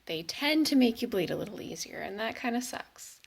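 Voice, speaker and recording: A woman's voice: on the high side, lively intonation, a little fast, gravelly, a little hiss or hum. A young woman from the Pacific Northwest, chatting casually and candidly to the camera in a vlog, with self-mocking asides. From Washington State, USA. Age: young adult. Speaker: vlogger.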